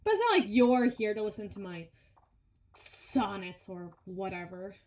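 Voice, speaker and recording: A woman plays a brawny henchwoman for a villain, speaking in a highish female voice. She is sardonic and conversational, grumbling and teasing as if to a partner at her side. It is an intimate audio roleplay, recorded with a clearly echoing, roomy sound. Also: a little mellow. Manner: sarcastic, conversational, dramatic sighs and grumbles. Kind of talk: ASMR audio roleplay, intimate one-sided dialogue.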